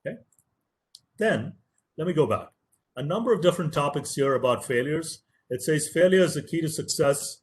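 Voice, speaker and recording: A man's voice: a little high, lively intonation, normal speed, a little hiss or hum. A man whose first language is Tamil gives an earnest, motivational webinar lecture as a professor, stressing key words and repeating phrases for emphasis.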